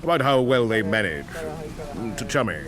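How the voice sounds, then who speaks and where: An actor in a radio drama playing a vague, gossipy upper-class English gentleman who mutters, halts and clears his throat. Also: mid-range voice, sing-song, a crowd in the background, lightly acted. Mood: confidential, awkward. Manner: muttering, halting, throat-clearing, tagging on eh and hmm.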